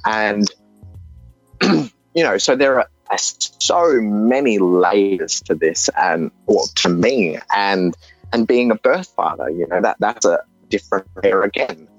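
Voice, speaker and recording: Slow, halting Australian man. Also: lowish voice, no echo. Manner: halting, earnest, with frequent restarts. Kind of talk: reflective personal testimony. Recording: dull, dry recording with faint music.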